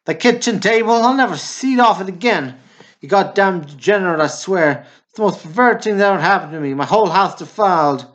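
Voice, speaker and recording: A man's voice: high voice, expressive, brisk, fairly bright tone. A male actor playing an indignant, profane older brother and war veteran in a radio play. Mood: shocked fury. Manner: profane, blustering, preachy.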